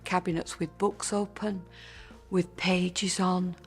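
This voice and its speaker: Low, gravelly woman in her sixties. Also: flat delivery, takes it slowly, soft background music. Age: 60s. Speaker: interviewee. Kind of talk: reminiscing about childhood.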